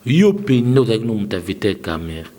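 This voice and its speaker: Fast-talking, low-voiced Congolese man. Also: sing-song, mellow. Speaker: interviewer. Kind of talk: informal, challenging interview.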